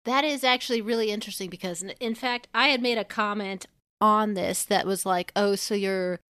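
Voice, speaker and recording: A woman's voice: medium pitch, fairly quick, fairly bright tone, smooth, dry recording, studio quality. A woman co-hosting a podcast in casual discussion, thinking aloud in a rambling, hedged way. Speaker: podcast co-host.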